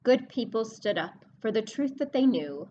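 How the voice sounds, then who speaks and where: A woman librarian reading a picture book aloud to children, expressive and explanatory, pausing to speak to her young listeners. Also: fairly high pitch, dull audio, a little hiss or hum. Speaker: children's librarian hosting story time.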